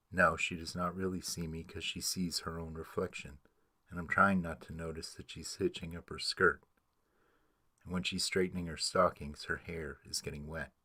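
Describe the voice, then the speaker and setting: A man's voice: low-pitched, sharp tone, husky, no echo, studio quality. A man giving a rhythmic, storytelling dramatic reading of narrative verse.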